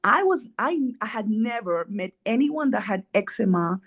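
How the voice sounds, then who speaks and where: A woman's voice: mid-range voice, expressive, moderate pace, fairly bright tone, breathy edge. Middle-aged woman explaining science. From Colombia. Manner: earnest, keeps checking in with "right?". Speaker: immunologist guest. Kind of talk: explanatory podcast interview.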